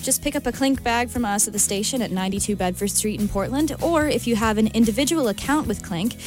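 A woman's voice: on the high side, fast talker. A woman reading a scripted radio station promo as an announcer. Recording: music bed behind the voice. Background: clear music.

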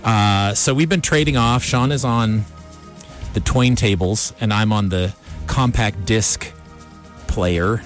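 A man's voice: on the low side, slow pace. A man hosting a radio show in a casual, rambling, easygoing way. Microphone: studio radio microphone. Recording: music behind the voice. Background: music.

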